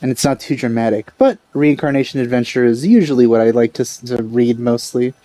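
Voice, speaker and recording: A man's voice: medium pitch, brisk, warm tone, dry recording. A man giving casual let's play commentary on a video game. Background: faint game music.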